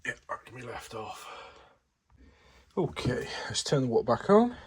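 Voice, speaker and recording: A man's voice: medium pitch, very animated, normal speed, slightly rough, no echo. A man, a plumber, talking casually to camera as he works, chatty and self-mocking, nasal and bunged up from a cold. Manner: chatty, self-mocking, mutters while working. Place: indoors at a hot water cylinder in a home. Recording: loud background music.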